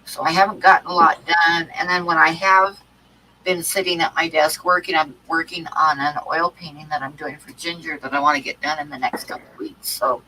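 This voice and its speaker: A woman's voice: sits low, steady tone, brisk, noisy background. A woman with a stuffy cold hosting an art livestream, chatting casually with many ums and restarts. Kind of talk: casual chat to live chat.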